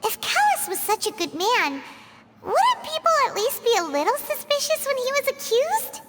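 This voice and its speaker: A woman voicing a tiny, childlike fairy companion in a video game, squeaky, excitable and blunt, speaking of herself in the third person. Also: moderate pace, in a large hall, heavy background noise. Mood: indignant, questioning. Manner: refers to self in third person, exclamatory. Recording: loud music behind.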